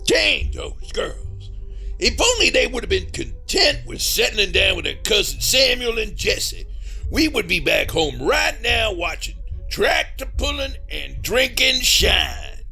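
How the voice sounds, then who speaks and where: High-pitched grumpy hillbilly monster hunter, Southern drawl. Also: male voice, big pitch swings, takes it slowly, gravelly, sharp tone, breathy edge, soft background music, exaggerated voice. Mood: aggrieved. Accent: rural Southern US. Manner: exclamatory, folksy, indignant. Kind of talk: comedy audio drama acting.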